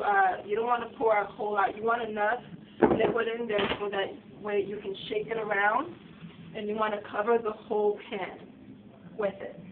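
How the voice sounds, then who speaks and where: A slightly hoarse woman chatting loosely through a cooking demonstration in her home kitchen. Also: medium pitch. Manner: chatty, loose, with fillers and restarts. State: slightly hoarse, getting sick. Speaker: cooking show host. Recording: distant, tinny and noisy home video.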